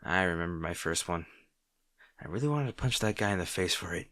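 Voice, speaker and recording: Man playing a low-voiced, snarky supervillain rival. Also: animated, a bit bright, no room sound, clean studio sound. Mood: softer, concerned. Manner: teasing, sardonic, halting when flustered. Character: snarky male supervillain rival, secretly worried about his hero. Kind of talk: dramatic roleplay monologue addressed to the listener.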